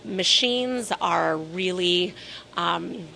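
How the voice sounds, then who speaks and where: Hoarse, gravelly woman with a bright, forceful tone, measured and businesslike, interviewed at a conference with faint ambience behind her. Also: on the low side, slight background noise. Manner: measured, businesslike, slows to stress points. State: hoarse from talking a lot. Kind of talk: casual interview. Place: at a conference in New York City.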